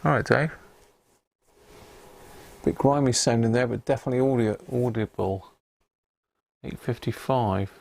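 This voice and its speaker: A man's voice: mid-range voice, a little slow, gravelly, long pauses, darkish tone, no echo. A man hosting a live radio stream, casually commenting as he tunes through stations and reads out viewers' reports.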